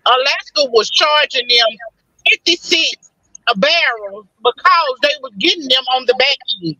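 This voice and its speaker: A woman's voice: high-pitched, animated, moderate pace, sharp tone, dry recording, low-quality recording. A woman in a heated political debate on a video call, emphatic and repeating her points. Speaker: panelist.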